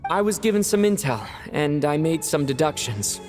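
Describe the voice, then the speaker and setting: An actor voicing a calm perceptive traveler. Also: mid-range voice, moderate pace, loud music behind the voice, close to an everyday voice. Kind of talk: video game voice acting.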